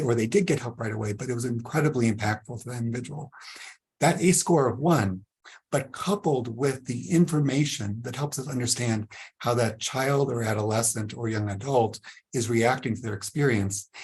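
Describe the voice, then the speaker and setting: A man's voice: medium pitch, darkish tone, slightly rough. A man giving a webinar lecture over a video call, hedging with "kind of" and often repeating words. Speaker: presenter.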